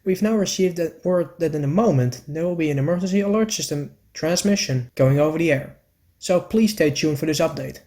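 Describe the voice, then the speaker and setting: A man's voice: a little high, brisk, warm tone, lightly acted. A man playing a local radio newscaster reading an emergency bulletin formally, with small stumbles. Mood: earnest, formal.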